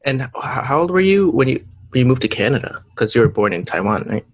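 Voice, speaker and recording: A man hosts a casual interview, asking questions in a relaxed way and prompting his guest along. The recording is dry and close, with no room sound. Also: mid-range voice, fast talker, no echo.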